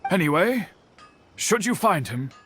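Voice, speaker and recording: Solemn, higher-voiced master swordsmith. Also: male voice, very animated, fairly bright tone, a little hiss or hum, heavily acted. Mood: grave, insistent. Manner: formal, measured, solemn phrasing. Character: earnest, courteous male master swordsmith, worried uncle. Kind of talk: video game character dialogue.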